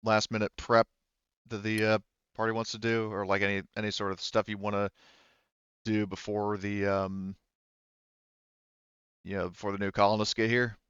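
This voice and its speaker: Male game master, casual and explanatory, low clean voice, close dry sound with dulled top end. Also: little pitch movement, normal speed, pauses often, fairly bright tone, clean tone, no room sound, highs cut off. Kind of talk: casual tabletop game narration and rules talk.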